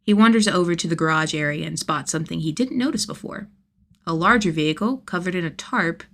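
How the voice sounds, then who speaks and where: Expressive woman narrating fiction. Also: lowish voice, fairly quick. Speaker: podfic narrator.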